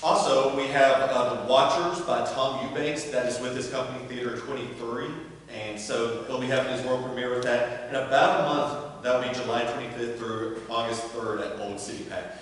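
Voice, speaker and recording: A man speaks as host of a theater evening, giving informal announcements to those gathered. His voice sits at a medium pitch and rings heavily through a large hall. It is picked up from a distance, with faint noise underneath. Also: fairly even intonation, moderate pace, few pauses. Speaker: theater company director hosting event. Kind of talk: informal event announcements to an audience.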